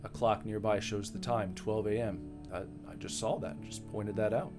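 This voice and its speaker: A man's voice: lowish voice, steady tone, moderate pace. A man reading a visual novel aloud as a dramatic reading, with casual asides. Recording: music behind the voice.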